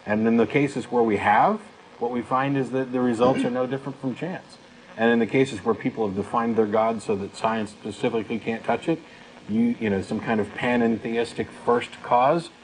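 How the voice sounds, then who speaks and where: Blunt man hosting, mid-pitched voice. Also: low-quality recording. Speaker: talk show host. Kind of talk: unscripted call-in show talk. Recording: faint background noise.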